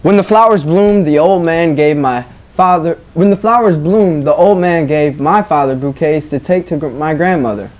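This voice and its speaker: Casting: classroom teacher, mid-pitched voice, storybook read-aloud and prompting questions for young pupils. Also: moderate pace, hardly any pauses, darkish tone. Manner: prompting children with questions, acknowledging their answers.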